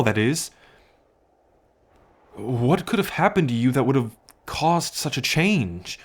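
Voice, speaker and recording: A man voicing a solemn dragon of royal blood, an older half-brother who explains things in a measured way in an ASMR story roleplay. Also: mid-range voice.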